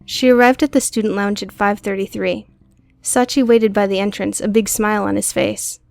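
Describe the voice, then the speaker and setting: A woman narrates a novel for an audiobook. Her mid-pitched voice has a noticeable creak, and soft guitar music plays faintly behind her. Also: fairly even intonation, moderate pace. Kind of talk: scripted fiction narration.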